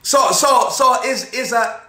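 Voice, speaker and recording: A young male preacher delivers an animated sermon, repeating key phrases and quizzing his congregation. His voice sits high and sharp. The sound carries the echo of a bare indoor room. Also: takes it slowly. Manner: animated, repetitive preaching with call-and-response questions, moving around. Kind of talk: energetic sermon. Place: indoor room in front of a banner backdrop. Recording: echoey room.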